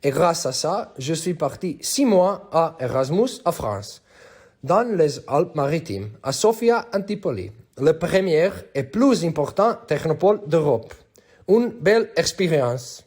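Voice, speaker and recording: Man, testimonial; animated, airy tone, brisk. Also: fairly high pitch, slightly rough. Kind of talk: personal testimony.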